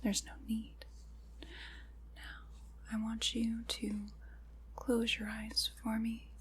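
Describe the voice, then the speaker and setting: A woman playing a gentle, solemn witch in an ASMR roleplay, guiding a ritual in a soft, breathy, deliberate whisper. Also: fairly high pitch, monotone, slight background noise. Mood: hushed, guiding. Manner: slow, soothing, deliberate guided-ritual delivery.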